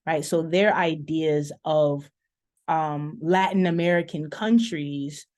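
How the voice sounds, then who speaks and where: A woman with a low, deep speaking voice gives panel remarks over a video call. She pauses often with "um" and draws out key words as she thinks aloud. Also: darkish tone. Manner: thoughtful, many "um" pauses, stretches key words. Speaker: panelist, sociology professor.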